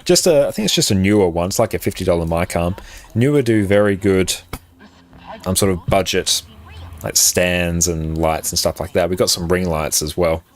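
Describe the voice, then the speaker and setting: A man with a very low voice, chatting casually and rambling with many fillers as he answers viewers on a live game stream, recorded on a compressed condenser mic at a home setup. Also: moderate pace. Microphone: condenser mic on an arm, compressed.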